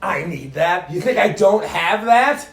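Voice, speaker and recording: A man with a big, booming voice trades sarcastic jabs in a home garage. His pitch swings widely as he teases. He holds the phone mic loosely, so his voice comes and goes. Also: unhurried. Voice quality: booming, projecting voice. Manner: teasing, sarcastic, animated. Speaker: podcast guest. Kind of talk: casual chat. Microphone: phone held away from the face.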